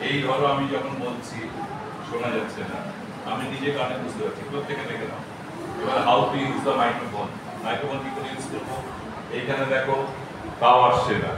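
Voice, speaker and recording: A man with a West Bengal Bengali accent leads a hands-on class, giving short repeated instructions. His mid-pitched voice rings through a very echoey hall, heard at a distance over clear background noise. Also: steady tone, a little fast, pauses often. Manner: directive, repetitive. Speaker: recitation workshop trainer. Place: workshop hall with microphone.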